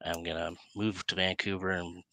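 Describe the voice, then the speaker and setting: A middle-aged Canadian man hosts a casual interview. His voice is fairly low but clearly bright and forward. It is recorded dry on a headset, with little room sound. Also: flat delivery, moderate pace, no room sound. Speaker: interview host. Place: small room indoors, video call.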